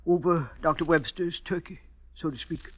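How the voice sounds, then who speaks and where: An actor playing a folksy, gossipy, suspicious janitor in a classic radio drama, talking in a sly, colloquial, hinting way. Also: male voice, on the high side, moderate pace, close to an everyday voice. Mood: sly, confiding. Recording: dull old radio recording.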